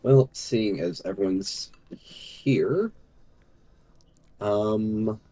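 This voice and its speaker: A man running a tabletop game as game master over a voice call, relaxed and joking. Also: on the low side, very slow. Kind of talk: casual tabletop game session.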